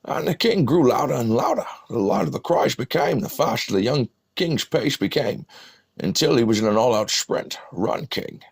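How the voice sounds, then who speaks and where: An old Scottish screen legend with a gravelly, swooping voice, telling a fantasy battle tale for a game. He is wryly amused, halting as he goes and muttering asides between the grand lines. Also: mid-range voice, lively intonation. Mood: dramatic, wry. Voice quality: husky, gravelly. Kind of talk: mock-epic storytelling for a video game.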